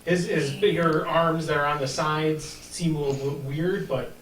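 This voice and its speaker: A woman's voice: low-pitched, steady tone, normal speed, a crowd in the background. A woman co-hosting a podcast, casually explaining game details. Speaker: podcast co-host. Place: small room, round table. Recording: echoey small room.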